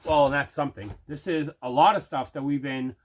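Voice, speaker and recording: A man's voice: a little high, fairly even intonation, normal speed. A man hosting a casual unboxing video, chatting away and repeating himself for emphasis. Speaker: host of an unboxing video show.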